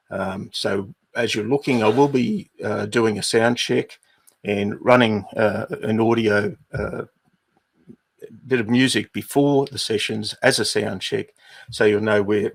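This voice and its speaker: A middle-aged Australian man with an Australian accent, hosting a livestream and walking viewers through housekeeping in an unhurried way with many fillers. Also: on the low side. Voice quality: gravelly, husky. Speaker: livestream host, business advisor.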